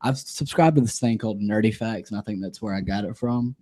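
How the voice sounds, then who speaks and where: Lowish voiced podcast dude telling stories, laughs a lot. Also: male voice, fairly quick, mellow. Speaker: podcast co-host. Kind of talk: casual chat, storytelling.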